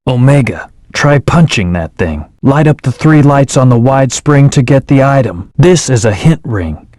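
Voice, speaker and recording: An actor voicing an aloof male hedgehog hero in a video game, giving calm, terse commands and hints. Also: mid-range voice, measured pace, no room sound, studio quality, natural voice. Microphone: studio microphone.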